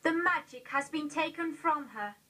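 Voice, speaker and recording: An eighteen-year-old woman with an English accent recites a poem in a measured, carefully enunciated way on an old video recording. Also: high-pitched, animated, pushed and bright, far from the mic. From England. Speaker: poetry reader. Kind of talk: poetry recitation. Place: indoor room, seated.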